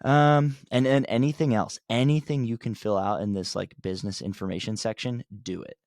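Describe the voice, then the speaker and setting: A man's voice: medium pitch, fairly even intonation, brisk, a little mellow, dry recording, clean studio sound. A man hosting an unscripted marketing tutorial, talking in a plain-spoken, conversational way as he gives emphatic advice. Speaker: host of marketing tutorial for contractors. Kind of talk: casual unscripted instructional talk.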